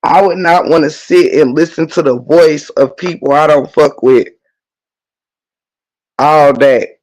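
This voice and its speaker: Hoarse, profane man ranting on a livestream. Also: on the high side, measured pace, darkish tone, low-quality recording. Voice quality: profane, emphatic ranting with repetition. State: hoarse, losing voice; congested. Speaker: livestream host. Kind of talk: casual livestream talk, reading chat.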